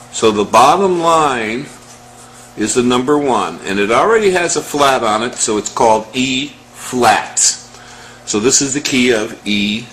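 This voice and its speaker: A man teaches music in a measured, instructional way. His voice sits at a middling pitch and he speaks extremely slowly, spelling out each step. There is faint noise behind him. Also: a bit bright. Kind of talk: step-by-step music lesson, calling out scale numbers.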